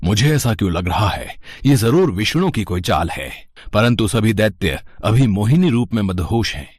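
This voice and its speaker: Casting: man as grave god or demon in animated myth, lowish voice, formal declamatory lines. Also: moderate pace, no echo. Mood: pondering, wary. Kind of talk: animated mythological story dialogue.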